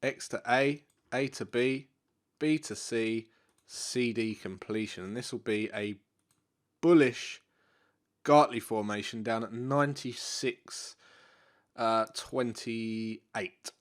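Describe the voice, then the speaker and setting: A man talks through trading charts in a loose, unscripted way, often stopping to repeat himself. His voice sounds congested and bunged up, as if he has a cold. The pitch sits in a middle range for a man. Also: slow. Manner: relaxed, repeats himself, many fillers. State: congested, stuffy nose. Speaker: forex trading educator. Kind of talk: live market analysis walkthrough.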